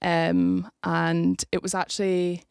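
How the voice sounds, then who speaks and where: A woman's voice: fairly low pitch, big pitch swings, slow, gravelly, a little mellow, clear, resonant tone, dry recording, clean studio sound. A young woman from Scotland, a rugby player, chatting casually as a podcast guest, laughing often and restarting her sentences.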